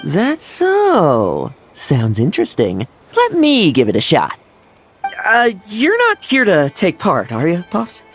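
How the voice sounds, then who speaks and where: Brash young game knight, very lively delivery, faint score underneath. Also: male voice, sits high, slow, faint music behind, full character voice. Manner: cocky, brash, boastful. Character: young brash male knight, cocky and restless. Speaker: knight vice captain. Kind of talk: video game voice acting.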